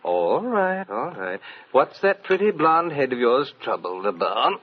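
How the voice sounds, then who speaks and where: An actor playing a vain, condescending middle-aged Broadway star in a 1940s radio drama, suave and mocking, on a muffled old recording. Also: male voice, mid-range voice, sing-song, a little slow, breathy edge. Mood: mocking, smooth.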